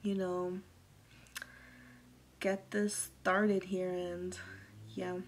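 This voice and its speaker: A young woman vlogging from her bedroom, rambling casually with lots of fillers and soft trailing endings, into a close phone camera. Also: lowish voice, little pitch movement, very slow, slight background noise. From Massachusetts. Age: young adult. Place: bedroom, indoors. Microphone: phone or webcam at arm's length.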